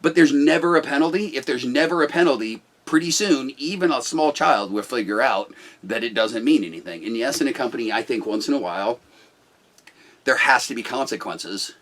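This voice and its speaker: A middle-aged man with a loud voice, a business owner talking bluntly and forcefully about management in casual talk. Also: medium pitch, fairly quick.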